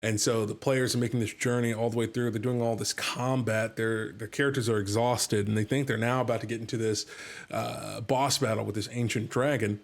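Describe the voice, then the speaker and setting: A man's voice: fairly low pitch, fairly even intonation, a little fast, gravelly. A man hosting a casual podcast, talking enthusiastically in a rambling way with lots of fillers and repeated words. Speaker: podcast host and game master.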